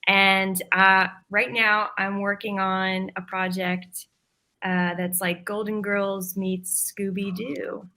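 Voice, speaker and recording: Bright, breezy woman chatting informally. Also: mid-range voice, level tone, takes it slowly, a little hiss or hum. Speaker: writer and voice actor, panel guest. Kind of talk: casual roundtable chat.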